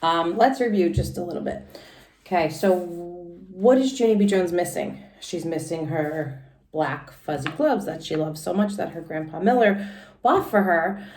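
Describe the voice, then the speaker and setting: A woman's voice: fairly low pitch, expressive, measured pace, darkish tone, far from the mic. A woman teacher reading a children's story aloud and recapping it casually, explaining simply and expressively for young listeners. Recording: echoey room sound.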